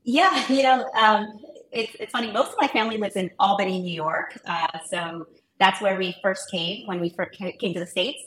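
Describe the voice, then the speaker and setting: A woman's voice: mid-range voice, normal speed, fairly bright tone. A woman from Ghana in her thirties, a physician turned founder, chatting as a guest with many ums and restarts. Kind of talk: casual interview conversation. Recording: roomy echo.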